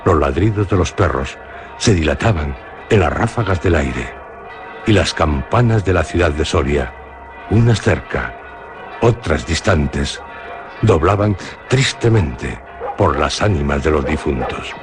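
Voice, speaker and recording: A man with a Spanish accent from Spain, giving a slow, solemn dramatic reading of a horror legend for radio. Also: extremely low pitch, lively intonation. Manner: slow, measured, solemn storytelling. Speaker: narrator. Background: music.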